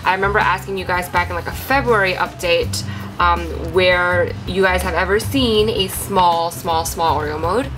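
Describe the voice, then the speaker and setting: A young woman talks in a chatty, rambling way, her voice stuffy and congested from a cold. Her voice sits a little low, and clear background music plays under her voice-over. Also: steady tone, normal speed, poor audio. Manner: chatty, apologetic, with frequent um and like. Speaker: craft channel host. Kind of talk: casual show-and-tell vlog.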